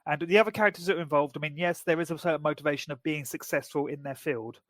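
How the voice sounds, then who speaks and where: A man's voice: on the high side, fast, no echo. A man co-hosting a podcast, casually discussing film and TV in a wordy, explanatory way. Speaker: podcast co-host.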